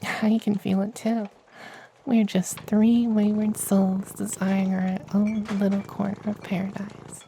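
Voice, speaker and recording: A performer voicing a tender, powerful femboy witch in a soft, partly whispered ASMR fantasy roleplay. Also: mid-range voice, little pitch movement, vocal fry, a little hiss or hum, poor audio. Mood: tender, reassuring. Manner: hushed, partly whispered, slow and soothing.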